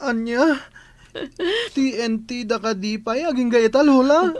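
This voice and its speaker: High-voiced plaintive orphaned schoolboy, acted by a man. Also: unhurried, no room sound. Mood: distraught. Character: grief-stricken orphaned schoolboy, dejected and questioning. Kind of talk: Ilocano radio drama acting.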